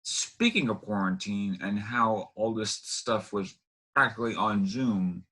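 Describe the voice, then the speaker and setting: A man's voice: fairly low pitch, unhurried, pushed and bright. A man hosting an interview, phrasing his thoughts in a wordy, roundabout way. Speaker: interview host.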